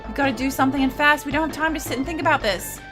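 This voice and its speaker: A woman's voice: high-pitched, racing, over music, pitched above the performer's own voice, lightly acted. A woman voicing a brash, feisty young female thief in a video game, talking in blunt, urgent exclamations. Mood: urgent, alarmed.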